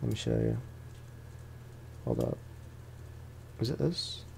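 A man's voice: on the low side, wildly swooping pitch, takes it slowly, creaky, warm tone, slightly rough. A man doing casual let's play commentary, talking in an informal, slangy way and often repeating his phrases. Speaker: gaming streamer.

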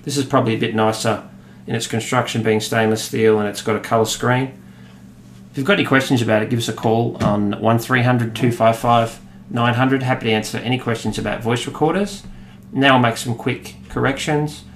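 An Australian man in his thirties walks through a software demo at his desk, relaxed and explanatory, pausing on "uh" and "um". His voice sits mid-range and bounces around an echoey office. A faint hum of room ambience hangs behind him. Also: medium pitch. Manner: relaxed, explanatory, with frequent fillers. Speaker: product demonstrator.

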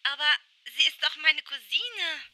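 Meek young cartoon pony girlfriend, played by a woman; high, hesitant, slow, stammering. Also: animated, pushed and bright, no room sound, full character voice. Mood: timid, nervous. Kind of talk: amateur fan audio drama.

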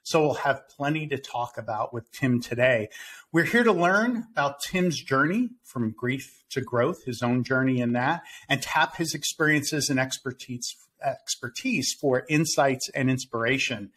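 A man's voice: mid-range voice, animated, measured pace, fairly bright tone. 50s guy hosting a podcast over video call, earnest, bit of hiss in the background. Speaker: podcast host. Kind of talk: podcast interview. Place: home room on video call.

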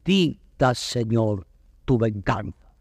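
An actor playing a proud, noble young prince who declaims classical verse solemnly in a grand oratorical manner for an audio drama. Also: male voice, medium pitch, expressive, slow, a little mellow, slightly rough, studio quality, full character voice. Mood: impassioned, humble. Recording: long hall-like reverb.

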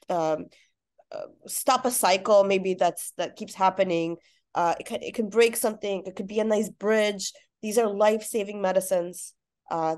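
A woman's voice: medium pitch, a little mellow. Congested woman on a video call. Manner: friendly, explanatory, with restarts. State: congested from a cold. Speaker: pediatric practitioner hosting a group call. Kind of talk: casual group video call. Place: home room with window.

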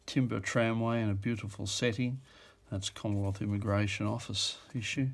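Male auctioneer, lowish voice, offhand musing delivery, fillers and self-corrections. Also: steady tone, normal speed. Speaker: auctioneer describing a lot. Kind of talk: casual off-the-cuff commentary. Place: indoors at a table.

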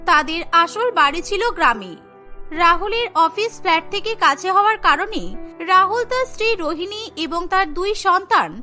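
A woman giving scripted narration for a cartoon story. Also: extremely high pitch. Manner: even, storytelling.

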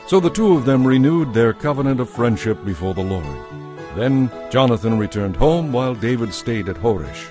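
Male narrator, mid-pitched, dramatized scripture storytelling with light music underneath. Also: lively intonation, moderate pace.

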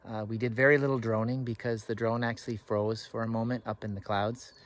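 A man's voice: fairly low pitch, flat delivery, brisk. A middle-aged man from Canada, chatting enthusiastically to a camera held at arm's length on a mountaintop. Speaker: travel vlogger. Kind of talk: casual travel vlog to camera. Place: outdoors on a forested mountaintop.

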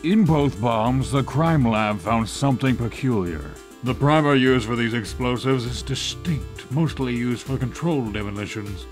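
A man acts a stern older male police chief with a medium-pitched voice. He is weary, authoritative and matter-of-fact in audio-drama style, with faint music behind him. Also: lively intonation, normal speed, hardly any pauses, lightly acted. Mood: grave briefing.

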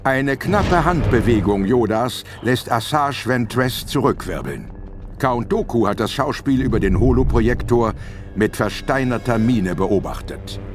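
A man's voice: medium pitch, lively intonation, unhurried. A man narrating an audio drama in a dramatic, scripted style. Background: music.

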